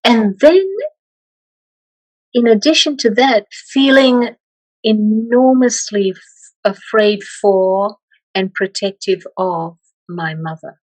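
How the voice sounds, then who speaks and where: A middle-aged woman from Malaysia, a meditation teacher speaking as an interview guest, slow and hesitant with many pauses and restarts. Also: mid-range voice, a little mellow, no echo, poor audio. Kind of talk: reflective interview talk.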